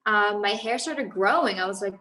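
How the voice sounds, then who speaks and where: A woman's voice: mid-range voice, moderate pace, bright, forceful tone, roomy sound. A woman in her thirties, a business founder, chatting casually in an interview with many fillers and restarts. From Los Angeles, USA.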